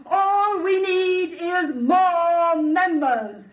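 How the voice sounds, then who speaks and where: An actress playing a zealous club leader making a grandiose, declamatory platform speech in a classic radio drama. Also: female voice, very high-pitched, very slow, heavily acted. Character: zealous female club leader rallying a meeting. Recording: muffled vintage radio recording.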